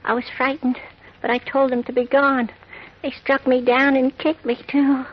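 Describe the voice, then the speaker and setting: A woman playing a small, gentle, doleful creature who groans in pain and speaks in rhyme in an old radio drama. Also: high-pitched, flat delivery, normal speed. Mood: frightened, doleful. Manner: speaks in rhyme, woeful and plaintive. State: in pain, weak. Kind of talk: 1940s radio acting. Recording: dull noisy old radio recording.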